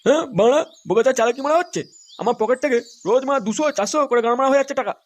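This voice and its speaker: A man's voice: extremely high pitch, rapid-fire. A man voicing a suspicious, crude husband in a comic cartoon, grumbling and accusing on a noisy recording. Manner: accusing, swearing.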